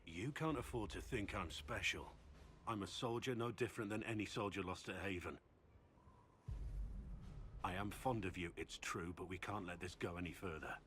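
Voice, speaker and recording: A man's voice: deep voice, normal speed, background noise, close to an everyday voice. A man playing a stoic, duty-bound soldier in a game, solemn and formal, guarded about his affections. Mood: solemn, pained. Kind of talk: dramatic game cutscene acting.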